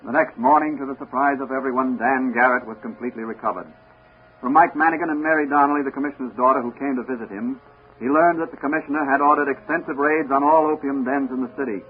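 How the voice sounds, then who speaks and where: Male announcer, medium pitch, scripted vintage radio serial narration, narrow tinny sound. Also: fairly even intonation, fast talker. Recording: tinny, hissy 1940 radio recording.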